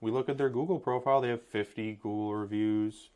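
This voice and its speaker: Casual young man, low voice. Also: fairly even intonation, a little fast, a little mellow, clean tone. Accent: Eastern Pennsylvania. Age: 20s. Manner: agreeable, incredulous, many fillers. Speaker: plumbing company employee. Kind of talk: casual business talk.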